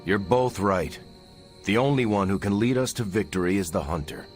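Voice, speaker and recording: A man acting an earnest, steadfast soldier-hero in a video game cutscene, speaking in a sincere, steady way. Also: on the low side, moderate pace, soft background music, natural voice. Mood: earnest, supportive.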